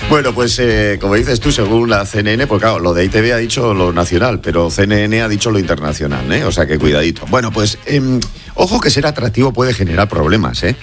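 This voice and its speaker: A man's voice: on the low side, expressive, brisk, faint music behind. A man with an accent from Spain, in casual, joking radio chat as a contributor. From Basque Country, Spain. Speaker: radio show contributor introducing comedy clips.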